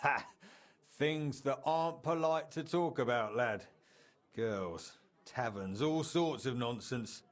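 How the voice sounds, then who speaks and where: A man's voice: mid-range voice, slow pace, lightly acted. An actor playing a jovial, roguish middle-aged tradesman in a video game, colloquial and teasing. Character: roguish, jovial aging tradesman reminiscing about wild youth. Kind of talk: video game dialogue acting.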